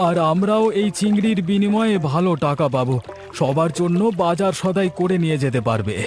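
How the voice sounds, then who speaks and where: An earnest young fisherman in a cartoon. The voice is fairly high and chatty. Light music runs underneath. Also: lively intonation, brisk. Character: hardworking young male village fisherman. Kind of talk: cartoon voice acting.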